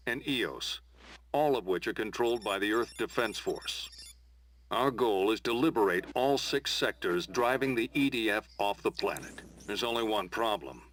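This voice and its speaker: Low-voiced rebel commander, played by a man. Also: fairly bright tone, slight background noise, close to an everyday voice. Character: commanding Martian rebel leader briefing a recruit. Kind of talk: scripted video game briefing.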